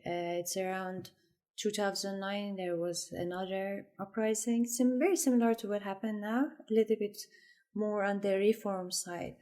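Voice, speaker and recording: Woman from iran, late twenties, medium pitch, reflective, restarts sentences. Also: darkish tone. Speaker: interview guest. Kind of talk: conversational interview.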